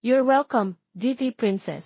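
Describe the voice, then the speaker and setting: A woman's voice: fairly high pitch, a little slow, breathy edge, dry recording, dull audio, pitched above the performer's own voice. A woman voicing a doting, supportive middle-aged father, tender and reassuring, in an audio love story.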